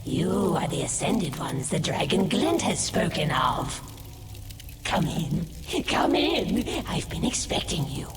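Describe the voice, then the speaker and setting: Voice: mid-range voice, very animated, takes it slowly, heavy background noise. An actor playing an ancient, cryptic non-human seer in a video game cutscene, portentous and theatrical. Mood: welcoming.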